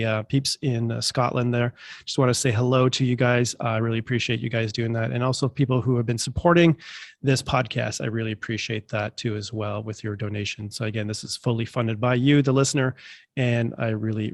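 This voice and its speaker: A man's voice: mid-range voice, no room sound. Male podcast host, congested, upbeat and chatty, dry close recording. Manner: enthusiastic, chatty with many fillers. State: congested from allergies. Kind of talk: casual interview.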